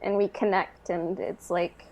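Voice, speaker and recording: A woman's voice: fairly low pitch, level tone, unhurried, a little mellow, highs cut off. A woman co-hosting a podcast, talking in a casual, conversational way. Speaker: podcast co-host. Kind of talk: casual chat.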